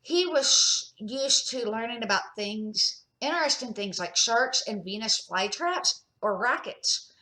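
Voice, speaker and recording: A woman's voice: on the high side, animated, a little slow, hardly any pauses, pushed and bright, rough recording. Older woman reading a storybook aloud. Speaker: grandmother reading children's books aloud. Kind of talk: amateur storybook read-aloud narration.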